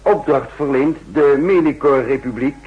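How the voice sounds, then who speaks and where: An actor playing a shrewd, insistent counter-espionage chief in a 1960s radio drama, speaking formally and emphatically with a husky voice. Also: male voice, mid-range voice, moderate pace, natural voice. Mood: urgent, confident. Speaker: narrator and main character. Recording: muffled old 1960s radio recording.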